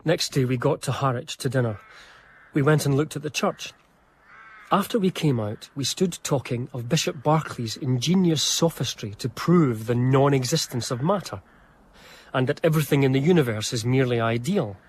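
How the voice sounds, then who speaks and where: A man playing an earnest young gentleman diarist, narrating a period radio drama in a measured, confiding, literary way. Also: medium pitch, slight background noise, close to an everyday voice. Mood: admiring, wistful.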